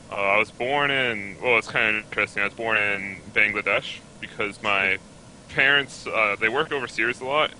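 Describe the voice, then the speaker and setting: A young man from Canada talks easily as a guest on an online show. His voice is low-set but very bright and sharp. He rambles casually and fills his story with "like". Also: slight background noise, poor audio. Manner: laid-back, many "like" fillers. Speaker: guest esports player. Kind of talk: casual interview chat.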